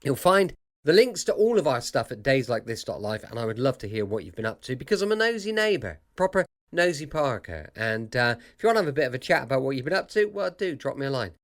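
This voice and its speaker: A man's voice: mid-range voice, very animated, fast talker. A British man hosting a late-night podcast with an informal, welcoming, playful and chatty manner. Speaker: podcast host.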